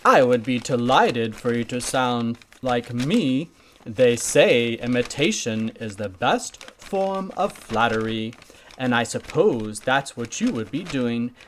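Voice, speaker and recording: Woman doing a slow, pompous gentleman goat puppet. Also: a bass voice, lively intonation, slight background noise, heavily acted. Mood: gracious. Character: courtly, gracious old gentleman goat. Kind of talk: puppet show for young children.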